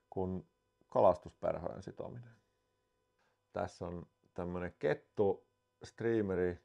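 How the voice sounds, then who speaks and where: A Finnish man in his thirties explains fly fishing to camera in a calm, unhurried way. His voice is very low and gravelly, recorded close on a lapel mic in a wooden room. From Finland.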